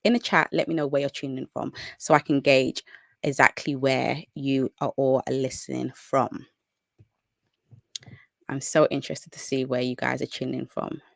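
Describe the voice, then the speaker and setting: A young British woman chats brightly through a live stream, greeting viewers by name as she goes. Her voice carries a slight gravelly fry, and the sound is dry and close to the mic. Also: very deep voice, little pitch movement, moderate pace, dry recording. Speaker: natural hair educator hosting a live Q&A.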